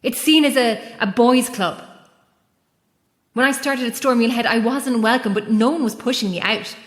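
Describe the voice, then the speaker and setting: An actress playing an anguished, defiant, guilt-ridden lighthouse keeper in a horror audio drama. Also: female voice, a little high, moderate pace, roomy sound, long reverb tail, lightly acted. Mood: weary confession.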